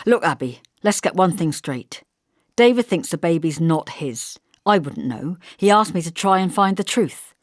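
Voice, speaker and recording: A woman's voice: on the low side, lively intonation, normal speed, no echo, clean studio sound. A woman voicing a blunt, bossy young working-class man in an audiobook, brusque and insistent, with colloquial turns of phrase. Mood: urgent. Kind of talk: audiobook character dialogue.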